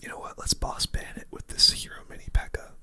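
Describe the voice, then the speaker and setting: A person giving casual, rambling game commentary in a breathy, unvoiced ASMR whisper, close to the microphone. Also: fast talker, pushed and bright. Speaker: gaming video host.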